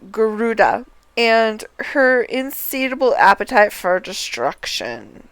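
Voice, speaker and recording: A woman streaming a video game and reading its dialogue aloud in a careful, deliberate way. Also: on the high side, smooth, no room sound, poor audio.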